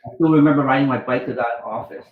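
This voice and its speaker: A man's voice: mid-range voice, quick pace, reverberant, phone-quality sound. A middle-aged man from Vietnam, a doctor and author telling his life story as an interview guest, with many restarts, acting out the dialogue. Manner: rambling, restarts, acts out quoted dialogue.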